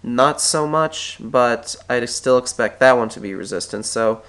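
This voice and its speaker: A man's voice: mid-range voice, a little slow, a bit bright, clean tone. A man with a stuffy head cold walks through a stock chart tutorial, thinking aloud and often correcting himself as he draws. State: stuffy with a cold, clearing his throat. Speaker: stock market analyst. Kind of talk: screen-recorded chart tutorial.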